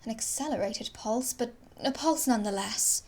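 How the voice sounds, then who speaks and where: A woman voicing a measured, formal ship's computer that gives precise reports in a science fiction audio drama. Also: on the high side, natural voice. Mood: composed.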